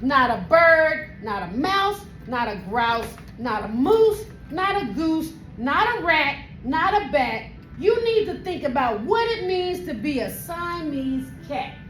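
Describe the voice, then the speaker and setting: A woman's voice: sits high, animated, normal speed, a little hiss or hum, voice pushed up. A woman voicing a stern storybook mother cat, lecturing emphatically. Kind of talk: animated storybook reading. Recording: roomy echo, music behind.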